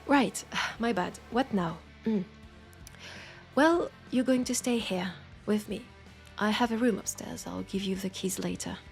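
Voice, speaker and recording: A woman's voice: mid-range voice, a little slow, gravelly, soft background music. A woman with a French accent playing a teasing, nurturing witch who tames werewolves, chatting playfully and coaxingly to the listener in an audio roleplay. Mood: gentle, reassuring. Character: teasing, nurturing female witch, self-styled werewolf tamer.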